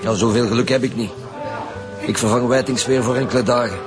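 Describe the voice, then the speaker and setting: An actor playing a dry, measured senior detective with a Flemish accent in a radio drama. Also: male voice, medium pitch, steady tone, over music, close to an everyday voice. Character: Romain Van Deun, senior detective lead.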